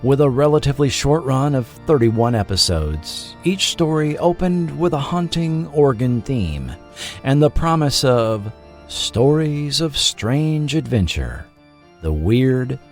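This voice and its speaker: A man reading a scripted documentary narration as a podcast narrator, in a measured, dramatic storytelling manner. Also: medium pitch, very animated, soft background music.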